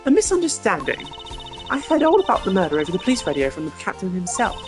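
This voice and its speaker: A man's voice: high voice, wildly swooping pitch, quick pace, voice pushed up. A man voicing a strict, haughty young female prosecutor who scolds and commands, over game music. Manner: imperious, scolding.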